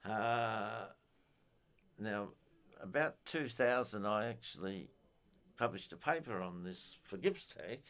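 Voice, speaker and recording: An older man giving an informal technical explanation in a halting, methodical way. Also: fairly low pitch, lively intonation, a bit bright, clean tone. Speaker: amateur radio enthusiast giving a technical talk.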